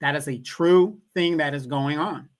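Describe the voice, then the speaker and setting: A man's voice: fairly high pitch, measured pace. A man co-hosting a casual livestream, making emphatic points and repeating them to drive them home. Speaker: co-host.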